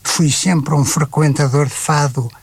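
A man's voice: on the high side, fairly even intonation, unhurried, creaky, slightly rough, no echo. An older man with a European Portuguese accent, a writer speaking reflectively in an interview, with frequent hesitations. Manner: reflective, hesitant with many fillers. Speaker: guest, writer and anthologist. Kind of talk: conversational interview.

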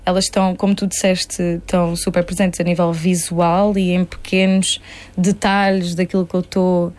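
Lively young woman singer chatting. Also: on the low side, normal speed. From Portugal (born there, raised in a Spanish-speaking home). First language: Spanish. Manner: animated, laughs often, mixes in English fillers. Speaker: singer-songwriter guest. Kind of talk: casual interview chat.

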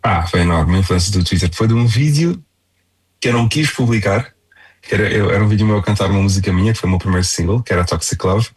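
A man's voice: lowish voice, fast talker, slightly rough. A young man with a European Portuguese accent, chatting casually as a singer-songwriter in an interview.

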